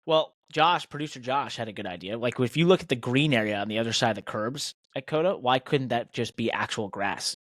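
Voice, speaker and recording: A man's voice: mid-range voice, lively intonation, fairly quick, few pauses, fairly bright tone, no room sound, clean studio sound. An American man chatting casually as a motorsport podcast co-host, speaking in an emphatic, opinionated way. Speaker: podcast co-host and professional racing driver.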